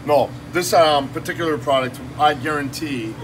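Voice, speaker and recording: A man in his fifties, a shop owner giving an energetic, salesy cooking demo in a small shop office, filmed on a phone. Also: on the high side, normal speed. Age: 50s. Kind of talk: casual promotional demo. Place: small shop office with kitchen counter, indoors. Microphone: phone camera held by someone nearby. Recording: distant phone audio with room noise.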